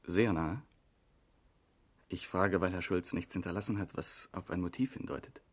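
Low-voiced, formal police investigator. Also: male voice. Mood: businesslike. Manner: formal, measured. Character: matter-of-fact police investigator, polite and probing. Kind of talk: 1970s radio crime drama acting.

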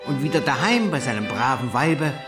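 A man's voice: medium pitch, very animated, normal speed, natural voice. An actor in a German radio play playing a self-satisfied village pharmacist who declaims his florid article pompously. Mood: grandiloquent. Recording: echoey voice over loud music.

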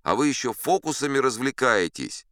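Man acting a grumbling ship's mate, raised pitch. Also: very animated, normal speed, fairly bright tone, clean tone, no echo, clean studio sound. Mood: reproachful. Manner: grumbling, reproachful. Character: grumbling ship's mate, sceptical and put-upon.

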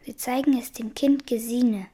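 An actress playing a young daughter who questions her parent insistently and quietly in a literary radio drama. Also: a little high, fairly even intonation, moderate pace, close to an everyday voice. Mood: probing, insistent.

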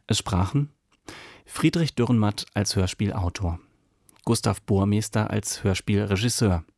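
A man's voice: on the low side, darkish tone, dry recording, studio quality. A man reading scripted radio drama credits as an announcer, formal and factual.

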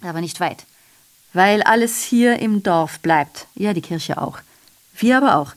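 An actress playing a female messenger in a literary radio drama monologue, recounting atrocity with biting irony in a deliberate, declamatory way. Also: mid-range voice, animated, lightly acted. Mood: grim, subdued.

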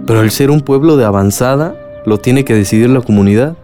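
A man's voice: medium pitch, brisk, natural voice. Supportive young Mexican son, acted by a man, music behind. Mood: supportive, earnest. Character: supportive, principled adult son of village leader. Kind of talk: radio drama acting. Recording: music bed under dialogue.

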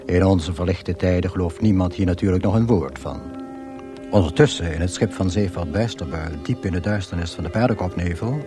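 An actor playing a grandiose, deadpan narrator of a galactic guidebook in a scripted radio comedy. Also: male voice, low-pitched, lightly acted. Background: music.

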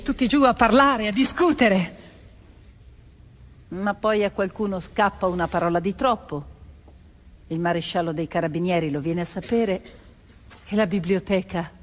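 An actress playing a peasant woman recounting family memories, with deliberate, expressive theatrical phrasing in an echoing space. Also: medium pitch, slight background noise, close to an everyday voice. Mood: measured. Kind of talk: theatrical storytelling over music. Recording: echoey hall with long reverb. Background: violin music.

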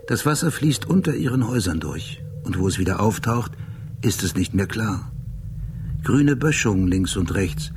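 An actor playing a detached, sober storyteller in a radio drama, narrating in a measured, precise, descriptive way. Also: male voice, on the low side, animated, noisy background. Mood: detached, bleak.

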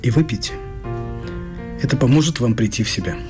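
A man's voice: mid-range voice, expressive, brisk, lightly acted. An actor as a blunt, probing man over guitar music. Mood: cutting. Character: blunt, persistent Israeli man confronting an older woman. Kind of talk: radio play dialogue. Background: plucked guitar music.

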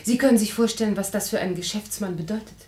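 A woman's voice: medium pitch, fast talker, mellow, echoey room, lightly acted. An actress playing a frank, composed, practical woman in a radio play, matter-of-fact and articulate. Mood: composed, candid. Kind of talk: classic German radio play acting.

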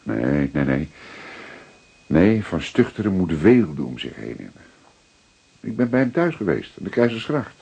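Older Dutch detective, radio-play style, deep, markedly husky, animated intonation, deliberate, secretive. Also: male voice, dull audio, full character voice. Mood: reflective, explanatory. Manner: deliberate, emphatic, repeating words for effect. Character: seasoned male police detective, paternal, methodical, secretive. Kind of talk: dramatic detective dialogue acting.

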